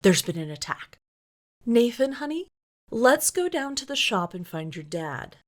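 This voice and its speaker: A woman's voice: fairly high pitch, very animated, a little slow, pitched above the performer's own voice. A woman voicing an urgent, protective mother talking to her young son in a story read aloud.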